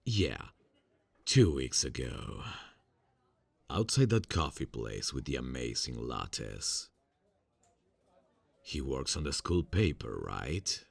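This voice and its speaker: A young man playing a friendly male college student who is secretly a serial killer, speaking softly and hesitantly in an ASMR roleplay, with many fillers and quiet insinuations. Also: extremely low pitch, very animated, slow, gravelly, long pauses, no room sound, exaggerated voice. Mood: falsely friendly.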